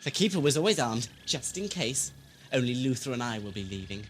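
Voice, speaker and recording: A man's voice: medium pitch, big pitch swings, brisk, a little hiss or hum, natural voice. A man playing a megalomaniac deposed lord in a sci-fi audio drama, gloating and vengeful in grand theatrical speeches. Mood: gloating menace.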